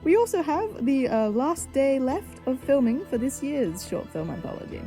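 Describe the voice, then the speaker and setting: A woman's voice: sits high, sing-song, moderate pace, warm tone. A woman hosting an audio drama, giving a chatty spoken introduction as its writer and producer. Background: music.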